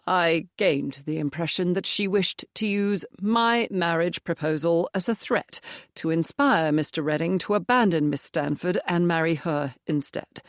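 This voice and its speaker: A woman's voice: fairly low pitch, a little slow, dry recording, clean studio sound. A woman reading as a rueful middle-aged clergyman. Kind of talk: audiobook dialogue.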